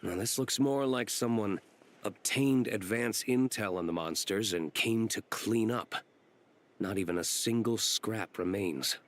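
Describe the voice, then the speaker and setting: A man's voice: on the low side, a little slow, a little hiss or hum, natural voice. An actor playing an aloof, composed young nobleman in a video game, reserved and formal in his delivery. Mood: cool, composed. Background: game music.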